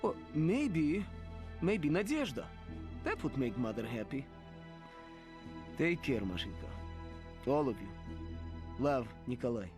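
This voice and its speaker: An actor playing a devoted young Soviet soldier, affectionately voicing a letter home in a game cutscene. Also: male voice, mid-range voice, big pitch swings, slow, pauses often. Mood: affectionate, earnest. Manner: affectionate, earnest. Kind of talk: letter read as game voice-over. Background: music.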